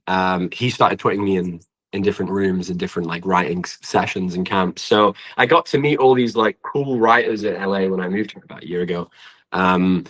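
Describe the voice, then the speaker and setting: A man's voice: fairly low pitch, fairly bright tone, low-quality recording. A young British man chatting casually as an interview guest, rambling informally and peppering his talk with "like". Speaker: guest singer-songwriter. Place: at home in an apartment.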